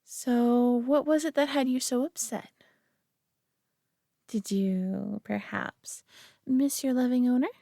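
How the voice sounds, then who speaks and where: Woman as a doting, prideful high-voiced alien owner. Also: steady tone, takes it slowly, pauses often, dry recording, clean studio sound, full character voice. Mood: teasing, coaxing. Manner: teasing, condescending, affectionate, often hushed and breathy. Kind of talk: intimate roleplay audio drama.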